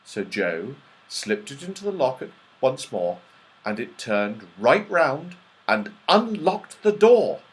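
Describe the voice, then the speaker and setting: A man reading a children's story aloud with expressive narration. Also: medium pitch, slow, low-quality recording. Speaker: audiobook reader.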